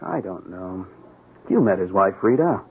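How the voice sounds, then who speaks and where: Animated, husky, embattled police sergeant. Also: male voice, sits low, a little slow, exaggerated voice. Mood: defensive, earnest. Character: embattled police sergeant, proud, anxious, loyal husband. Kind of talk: radio drama acting. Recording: muffled, band-limited radio drama recording.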